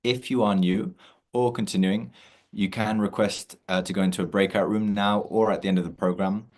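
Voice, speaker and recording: Relaxed man hosting a video-call meditation. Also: on the low side, moderate pace. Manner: relaxed, many fillers and restarts. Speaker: meditation session host. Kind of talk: casual online session introduction.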